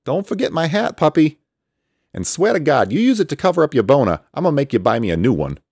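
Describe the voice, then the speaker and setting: A man's voice: deep voice, wildly swooping pitch, brisk, vocal fry, darkish tone, no echo, studio quality, voice pushed up, exaggerated voice. A man voicing a small, cocky male bat creature who teases and taunts. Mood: shouting. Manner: sarcastic, taunting.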